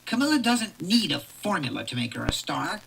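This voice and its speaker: A woman voicing a devoted male puppet showman in a storybook read-along, speaking softly but firmly. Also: fairly low pitch, sing-song, moderate pace, gravelly, background noise, pitched below the performer's own voice. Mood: tender. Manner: soft, firm. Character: gentle male muppet showman, devoted to his chicken.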